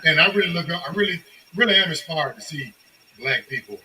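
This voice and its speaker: A man's voice: fairly high pitch, lively intonation, brisk. A man talking in a casual chat, slangy and enthusiastic and full of admiration. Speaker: guest interviewer. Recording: bright sound with faint background noise.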